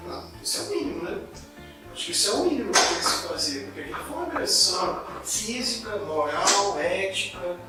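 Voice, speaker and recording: A man from Goiás in Brazil co-hosting a political talk show, talking in an indignant, halting way with many restarts. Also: sits high, sing-song, heavy reverb, distant mic, loud music behind the voice, low-quality recording. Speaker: political commentary co-host. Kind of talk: casual political discussion.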